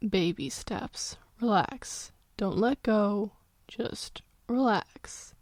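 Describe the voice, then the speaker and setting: A woman voicing a protective male demon father, urgent and hushed, in a fan audiobook. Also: medium pitch, extremely slow, no room sound, studio quality. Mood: hushed, reassuring.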